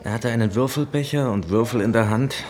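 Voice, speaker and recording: A man's voice: medium pitch, a little fast, darkish tone, close to an everyday voice. A man playing a matter-of-fact, sceptical bartender in a radio drama, with a dry, questioning manner. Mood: amused, confiding.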